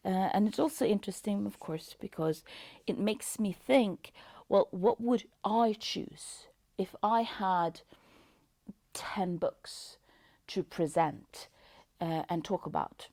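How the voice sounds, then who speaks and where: A woman with a Norwegian accent, a native Norwegian speaker, hosting a casual book review vlog in a halting way, full of ums and uhs, with soft whispery asides. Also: mid-range voice, vocal fry, no echo. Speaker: booktube host.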